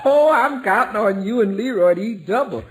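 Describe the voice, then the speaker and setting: An actress playing a good-humored household cook in an old radio sitcom, with a folksy, teasing manner. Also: female voice, fairly high pitch, lively intonation, normal speed, natural voice, poor audio. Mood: chatty. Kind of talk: 1940s-50s radio sitcom acting. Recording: old-time radio recording.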